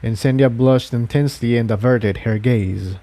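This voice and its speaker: A man narrates an adventure story in a mellow, dark voice. The recording is dry and close, and his delivery is that of scripted reading. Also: medium pitch, moderate pace, no room sound. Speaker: fan fiction reader.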